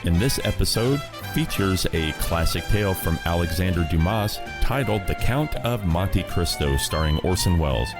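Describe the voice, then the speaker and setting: A man hosting an old-time radio show, introducing episodes in a relaxed, conversational way. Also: deep voice, moderate pace. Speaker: host. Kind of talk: casual radio presenting. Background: loud guitar music.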